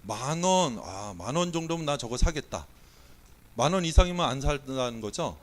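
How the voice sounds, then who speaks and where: A man's voice: mid-range voice, expressive, moderate pace. A Korean man, a pastor, preaching a sermon with a weak voice from a cold, playfully quizzing the congregation and running a mock auction. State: has a cold, voice not coming out well. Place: church sanctuary.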